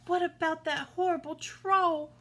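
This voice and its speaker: A woman's voice: extremely high pitch, steady tone, unhurried, sharp tone, voice pushed up, full character voice. A woman voicing a nervous male middle billy goat from a storybook, stammering and fretful.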